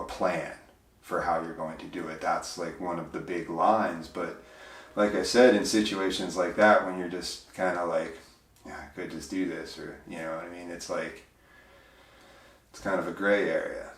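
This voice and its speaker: A man with a big, deep voice, speaking as an interview guest in a reflective, hesitant way, full of fillers and restarts. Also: level tone, moderate pace, distant mic. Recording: roomy, voice from off mic.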